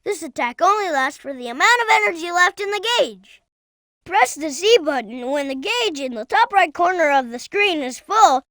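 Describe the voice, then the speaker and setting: An eager young fox boy from a video game, with an extremely high, childlike voice. The delivery is animated yet earnest and instructive, each phrase clearly spoken. The sound is dry and close, like a studio game recording. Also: no room sound, heavily acted. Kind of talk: scripted video game tutorial voice acting.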